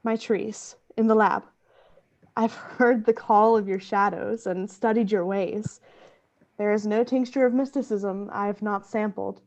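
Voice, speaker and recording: A woman's voice: medium pitch, fairly even intonation, unhurried, darkish tone, dull audio, close to an everyday voice. A woman playing an intense, obsessive female scientist in a radio play, with earnest, formal, dramatic delivery. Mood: earnest, fervent. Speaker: voice actor.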